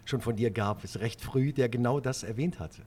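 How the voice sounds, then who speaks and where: A man's voice: mid-range voice, normal speed, darkish tone, husky. A man hosting a club podcast interview, enthusiastic, often stumbling over and repeating words. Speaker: podcast host.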